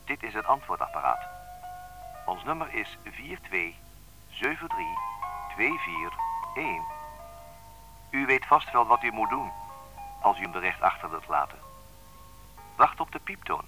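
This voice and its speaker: An actor in a radio play playing a playful, mysterious man speaking deliberately on his answering machine, with music behind him. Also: on the low side, sing-song, poor audio. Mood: formal, playful. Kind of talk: radio drama acting as recorded phone message.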